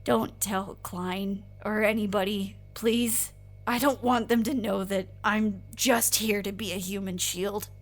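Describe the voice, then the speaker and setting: A woman's voice: mid-range voice, steady tone, measured pace, lightly acted. A woman playing an insecure, earnest young agent in a naturalistic audio drama, speaking in a hesitant, emotional, informal way. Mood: embarrassed, confiding.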